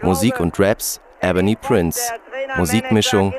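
A man's voice: deep voice, a little slow. A man reading scripted radio credits as an announcer. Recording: loud crowd noise behind. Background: crowd.

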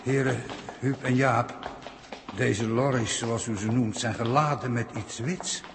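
A man's voice: mid-range voice, steady tone, a little slow, close to an everyday voice. A man playing an anxious, polite, bewildered humanoid, stammering and formal, in a 1960s radio drama. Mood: thoughtful. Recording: noisy 1960s radio recording.

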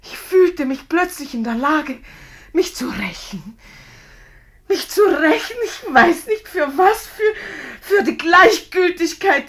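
An actress playing a lovelorn, wounded female secretary who confesses haltingly and intensely in a 1950s German radio play. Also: on the high side, breathy edge, heavily acted. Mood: bitter, tearful. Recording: 1950s radio drama recording.

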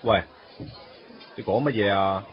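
An actor playing a young man who is quick to take offense in a radio play, speaking Hong Kong Cantonese. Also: fairly low pitch, steady tone, lightly acted. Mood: indignant. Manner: fast and indignant. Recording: dull lo-fi radio recording.

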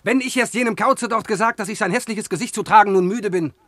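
High-pitched, boastful Hermes. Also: male voice, fast, a bit bright, no room sound. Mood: mocking, boastful. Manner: mocking, grandiloquent. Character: cheeky, boastful messenger god Hermes, mocking. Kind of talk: classical verse radio drama acting.

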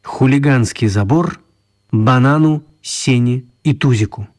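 A man's voice: mid-range voice, measured pace, a little mellow. A man narrating a children's radio story in a scripted storytelling style.

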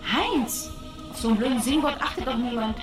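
A woman's voice: a little high, a little fast, music in the background, natural voice. An actress playing a brash, commanding female cyber agent in a radio drama, with blunt delivery. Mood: brisk, commanding. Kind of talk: German radio drama acting.

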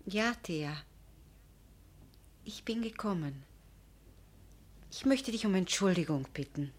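Casting: earnest, proud young lady for radio drama, lowish voice, direct, emphatic. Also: animated, slow pace. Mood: earnest, contrite. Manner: direct, emphatic, pleading or drily pointed. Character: proud, earnest, frank young woman engaged to marry. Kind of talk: German radio drama acting.

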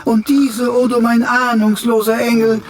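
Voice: mid-range voice, level tone, unhurried, natural voice. An actor playing a chatty, cheeky talking computer in a radio play, with flowery, mock-archaic, servile and theatrical speech.

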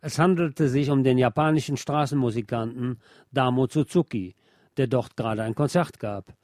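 A man reading a scripted music feature as a radio presenter, in a measured, articulate, literary manner. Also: medium pitch, a little mellow, no echo, studio quality. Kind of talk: scripted narration.

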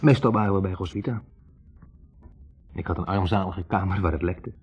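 A man's voice: low-pitched, moderate pace, a little mellow, husky, faint music behind. An actor playing a young man, a physics student, hesitantly recalling a troubled love in a conversational 1960s radio play monologue. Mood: wry recollection. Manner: hesitant, self-correcting, reflective. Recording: muffled old radio recording.

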